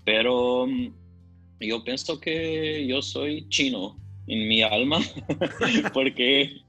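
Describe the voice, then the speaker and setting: A man's voice: medium pitch, extremely slow, sharp tone, soft background music, low-quality recording. A man from Portugal with a Portuguese accent, chatting casually in a second language as a podcast guest, searching for words and repeating phrases. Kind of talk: casual conversation.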